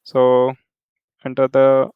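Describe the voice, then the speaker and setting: A man working as a software trainer, explaining a screen walkthrough step by step in a halting way with repeated check-in tags. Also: medium pitch, completely flat delivery, smooth, dry recording, highs cut off.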